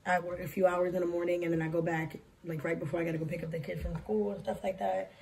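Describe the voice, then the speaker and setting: A young woman talks straight into her phone in a small room, rambling casually with lots of fillers. Her voice is hoarse and gravelly, sitting a bit low. The mic is a little far off and has a faint hiss. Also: flat delivery, fast, a little mellow. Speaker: vlogger and daycare lead teacher. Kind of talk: casual chatty life-update vlog. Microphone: phone camera at arm's length.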